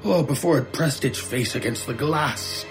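An actor playing a frightened, haunted shopkeeper in a radio horror drama, halting and stammering in distress. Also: male voice, mid-range voice, over music, natural voice. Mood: fearful, haunted. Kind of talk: old-time radio horror drama acting.

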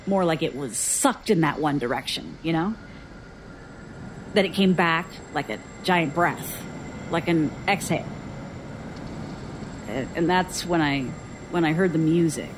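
A guarded, weary woman, flatly low-voiced. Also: unhurried, long pauses, noisy background. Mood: uneasy, reflective. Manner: dry, sardonic, reluctant. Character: guarded, weary woman survivor recounting childhood strangeness. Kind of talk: audio drama acting, interview testimony.

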